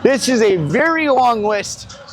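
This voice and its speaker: A man's voice: very high-pitched, big pitch swings, unhurried. A man speaking informally as a host, giving a casual on-camera tour and doing interviews, often restarting his phrases. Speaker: show host and tour guide. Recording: music behind the voice. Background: music.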